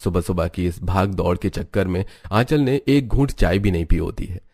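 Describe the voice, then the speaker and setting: Male narrator, lowish pitch, scripted storytelling delivery. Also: normal speed, darkish tone, no room sound, clean studio sound.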